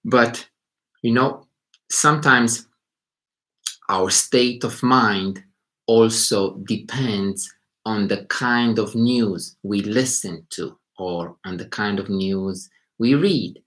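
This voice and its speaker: A man's voice: fairly low pitch, a bit bright. A man from Romania, hosting a casual live stream as a psychology teacher and thinking aloud as he talks. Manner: unhurried, thinking aloud, rephrasing as he goes. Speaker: psychology teacher and channel host. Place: indoors in Beijing at night.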